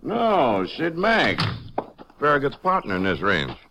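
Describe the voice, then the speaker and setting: An actor playing an earnest, plain-spoken, troubled small-town Texas sheriff in a 1950s radio western. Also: male voice, mid-range voice, huge pitch swings, unhurried. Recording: noisy old radio recording with clicks.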